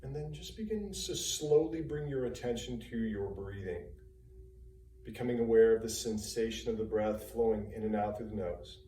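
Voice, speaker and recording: A man with a soft-edged, mellow and fairly low voice guides a meditation. He gives calm, unhurried instructions in short phrases, soothing and spaced by pauses. The recording is very roomy, picked up from some distance. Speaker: personal trainer leading guided meditation. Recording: roomy, distant mic, faint hum and noise.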